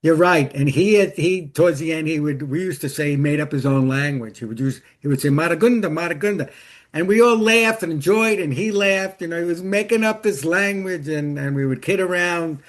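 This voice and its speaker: Higher-pitched man telling personal stories. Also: a little fast, hardly any pauses. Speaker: interview guest, author. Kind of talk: conversational storytelling and personal advice.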